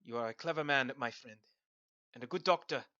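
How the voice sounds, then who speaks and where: A man's voice: medium pitch, fairly quick, bright, forceful tone, close to an everyday voice. A man playing a grave, commanding older professor in a radio drama, solemn and emphatic. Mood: challenging. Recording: roomy, slightly dull, faint music.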